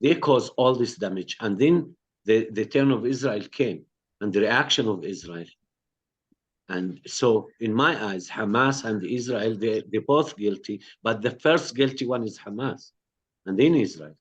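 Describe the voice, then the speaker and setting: A man speaks on a video call in a fairly low voice, earnest and halting, circling back over words as he builds each point. The audio is dull and lo-fi, like a compressed online meeting. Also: slightly rough. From Palestine. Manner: earnest, halting, repeats words as he searches for phrasing. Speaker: co-director of a peace network, panel speaker. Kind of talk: online panel talk.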